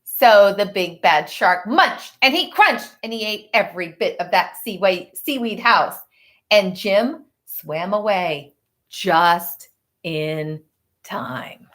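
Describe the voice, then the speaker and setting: Expressive woman reading a children's storybook aloud. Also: medium pitch, slow pace. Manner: expressive, chatty, asks listeners for predictions. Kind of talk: children's read-aloud with teacherly asides.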